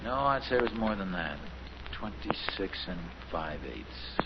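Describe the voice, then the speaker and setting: Animated fumbling American dad, old radio. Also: male voice, low-pitched, unhurried, full character voice. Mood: patient, muddled. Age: middle-aged. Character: fumbling, boastful suburban dad, proud amateur handyman. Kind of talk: 1950s radio sitcom acting. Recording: noisy, muffled 1950s radio recording.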